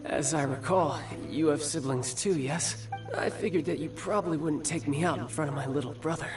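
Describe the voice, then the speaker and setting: An actor playing an injured, cocky young warrior who teases smoothly and speaks fondly of family. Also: male voice, a little high, moderate pace, close to an everyday voice. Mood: wry, weary. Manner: smooth, playful, teasing. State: weakened, injured. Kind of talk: video game cutscene voice acting. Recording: slightly distant, music behind.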